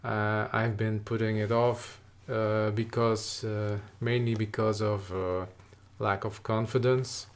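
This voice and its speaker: A Flemish-accented man in his forties, speaking English as a second language. He talks slowly and haltingly, pausing to search for words, and his voice sits a little low. He is recorded on a webcam in an attic studio. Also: little pitch movement. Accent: Flemish Dutch. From Belgium (Flanders). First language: Dutch. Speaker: watercolor artist teaching. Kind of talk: hesitant tutorial narration. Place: attic studio with wooden beams.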